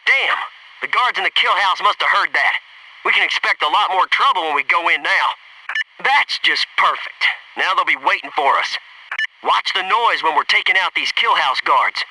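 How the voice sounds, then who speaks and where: A man's voice: high voice, lively intonation, normal speed, sharp tone, far from the mic, slight background noise, exaggerated voice, low-quality recording. An actor playing a cocky, wisecracking male Navy SEAL squadmate in a military video game, talking in brash, punchy banter. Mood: annoyed. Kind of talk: scripted video game squad radio lines.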